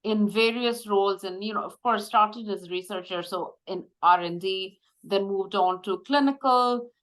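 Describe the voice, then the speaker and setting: A woman's voice: mid-range voice, poor audio. Reflective woman from India. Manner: reflective, measured, with frequent fillers. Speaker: guest, medical device executive. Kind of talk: conversational interview.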